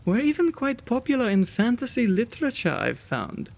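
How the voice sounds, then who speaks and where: A man playing a kind, courtly, old-world gentleman vampire in an intimate ASMR roleplay, with genteel, old-fashioned phrasing and bashful stammers. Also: extremely high pitch, huge pitch swings, moderate pace, gravelly, full character voice. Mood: gentle, apologetic. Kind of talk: ASMR roleplay, intimate one-sided dialogue.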